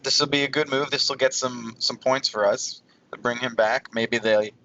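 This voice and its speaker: A man's voice: medium pitch, fairly even intonation, moderate pace, pushed and bright, highs cut off. A man co-hosting a podcast, explaining his opinion in casual commentary. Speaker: podcast co-host.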